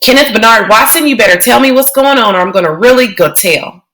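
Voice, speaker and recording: A woman's voice: fairly high pitch, expressive, fairly quick, fairly bright tone. A woman voicing a bossy young girl who whines and threatens to tattle. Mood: upset. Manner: whiny, threatening to tell.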